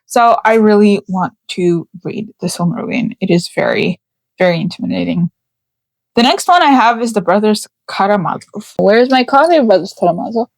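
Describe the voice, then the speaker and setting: A woman's voice: medium pitch, normal speed, vocal fry, no room sound, poor audio. A young woman from Canada whose first language is Russian, hosting a book channel in a chatty, self-deprecating way with many fillers and repeats. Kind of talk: casual chat to camera.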